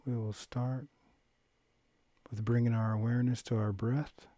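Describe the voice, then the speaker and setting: A man leading a guided meditation, giving gentle, unhurried instructions with long pauses between phrases. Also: mid-range voice, level tone, dry recording. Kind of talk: guided body-scan meditation, calm instruction.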